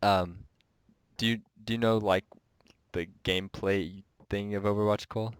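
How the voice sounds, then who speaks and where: A man's voice: fairly low pitch, fairly even intonation, moderate pace, clear, resonant tone, dry recording, clean studio sound. A young man with a cold, sounding nasal and stuffed up, chatting casually as a podcast co-host and rambling a bit. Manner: rambling, restarts sentences often. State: sick and stuffed up. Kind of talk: casual gaming podcast chat.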